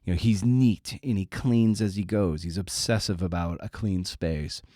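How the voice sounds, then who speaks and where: A middle-aged man with a deep voice talks as a podcast host. His delivery is casual and rambling, with a sardonic, self-deprecating edge and some blunt swearing. The sound is very dry, free of room echo. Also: moderate pace, mellow.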